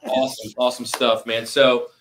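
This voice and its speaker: A man with a medium-pitched voice hosts a podcast. He chats casually and informally, with an easy enthusiasm for what he is discussing. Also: little pitch movement, moderate pace, a bit bright, a little hiss or hum. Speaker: podcast host. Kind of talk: casual chat on a movie ranking podcast.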